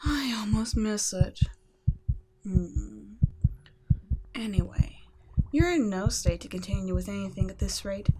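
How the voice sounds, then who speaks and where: A cold, condescending female vampire scientist voiced by a woman for an ASMR audio drama, murmuring and whispering her taunts at a mid pitch. Her mockery stays quiet and close rather than loud. A faint hiss of noise sits under the voice. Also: a little slow, pauses often, natural voice. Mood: clinical. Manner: soft, often whispered, mocking and condescending.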